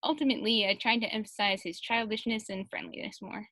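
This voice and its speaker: A woman's voice: mid-range voice, fast, pushed and bright, no room sound. A woman chatting casually on a Q&A panel and reading answers aloud as a translator.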